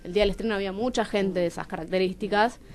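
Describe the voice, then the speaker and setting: A woman's voice: mid-range voice, fairly even intonation, very fast, a little mellow. A woman with a Rioplatense Argentine accent, telling personal stories expressively as a guest in a radio interview. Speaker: playwright and radio show guest. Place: radio studio.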